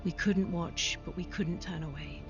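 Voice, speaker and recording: A woman playing a solemn female supersoldier, narrating reflectively as a character in an animated sci-fi film. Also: on the low side, moderate pace, muffled recording, over music, lightly acted. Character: solemn female supersoldier recalling her comrades.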